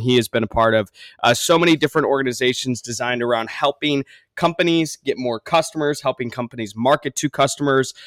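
A man's voice: medium pitch, a bit bright, no echo. Upbeat guy hosting a podcast, gushy, keeps restarting sentences, dry close sound. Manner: enthusiastic, effusive, repeats words while rephrasing. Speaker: podcast host.

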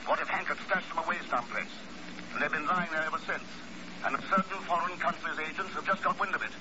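A man plays a suave, unruffled male secret agent in a 1950s radio spy drama. His voice is fairly high and his manner wry. The sound is dull with clear background noise. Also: quick pace, close to an everyday voice. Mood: cool, wry. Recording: muffled, noisy old radio recording.

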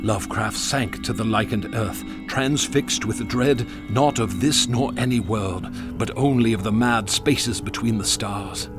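A man narrating a horror radio drama in an ornate, measured, theatrical manner. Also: on the low side. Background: music.

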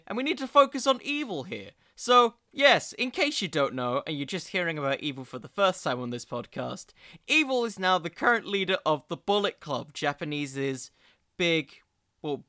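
A man's voice: fairly high pitch, sharp tone, dry recording, clean studio sound. A man hosting a solo podcast, giving casual, opinionated commentary in an informal, digressive way. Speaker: podcast host.

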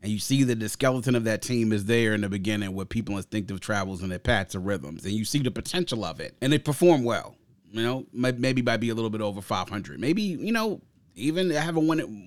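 A man's voice: mid-range voice, animated, a little fast. A man with a mid-range voice hosts a music discussion. He talks reflectively and conversationally, laying out his opinions in long, explanatory stretches. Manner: reflective, explains through extended analogies. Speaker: host of an album review discussion.

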